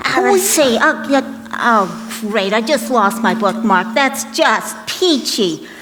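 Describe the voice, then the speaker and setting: A woman's voice: on the high side, sing-song, takes it slowly, a bit bright, in a large hall, slight background noise, exaggerated voice. A woman playing a brash, grumpy, wisecracking substitute storyteller in a comic children's skit. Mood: brusque, flustered. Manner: sassy, exasperated, theatrical reading.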